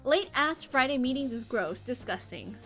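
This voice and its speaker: High-voiced chatty woman streamer. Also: animated, normal speed, a bit bright, soft background music. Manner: chatty, rambling, talking to viewers. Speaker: live game streamer. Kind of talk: casual livestream chat. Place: indoor home office.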